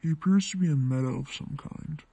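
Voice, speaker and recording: Man playing a husky, gruff vigilante. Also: a little high, a little fast, dark tone, dry recording. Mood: dry, matter-of-fact. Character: gruff, sardonic male masked vigilante reporting from a stakeout. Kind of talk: acted dialogue in fan fiction audiobook.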